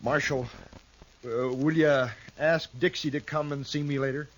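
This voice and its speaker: An actor playing a gentle, simple-minded young rancher in a 1950s radio western, pleading and apologetic, with plain rural grammar. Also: male voice, fairly high pitch, fairly even intonation, normal speed, natural voice, rough recording. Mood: remorseful. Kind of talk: 1950s radio drama acting.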